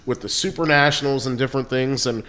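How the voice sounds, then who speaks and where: A man's voice: mid-range voice, steady tone, fast, pushed and bright. Easygoing man hosting a chat. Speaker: podcast host. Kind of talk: casual podcast interview.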